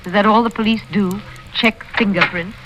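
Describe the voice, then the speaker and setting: An actress in a vintage radio drama playing a prim, unflappable, fussy spinster with a genteel, matter-of-fact way of speaking. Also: female voice, medium pitch, steady tone, normal speed, background noise, natural voice. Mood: polite. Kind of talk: 1940s-50s radio drama acting, first-person narration. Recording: vintage radio recording with music.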